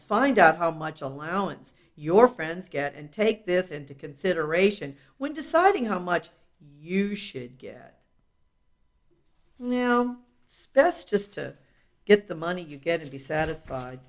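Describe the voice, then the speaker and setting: A woman reading a children's self-help book aloud in an instructive, explanatory way, with casual asides. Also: fairly low pitch.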